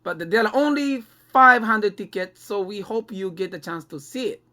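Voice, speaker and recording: A male podcast host with a Japanese accent talks in a conversational way. His English is non-native and comes out haltingly, phrase by phrase. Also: high-pitched, sharp tone.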